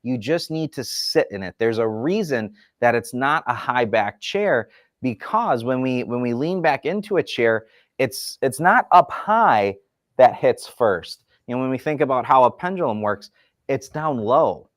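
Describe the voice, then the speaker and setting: A man's voice: medium pitch, animated, measured pace, no echo, clean studio sound. Upbeat man demonstrating sewing chairs. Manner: enthusiastic, explanatory, folksy. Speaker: furniture company representative. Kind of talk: product demonstration chat.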